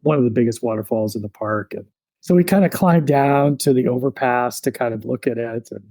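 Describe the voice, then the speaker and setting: A man in his thirties, a podcast guest, telling his story in a relaxed, reflective way with many fillers. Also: medium pitch, brisk, no echo.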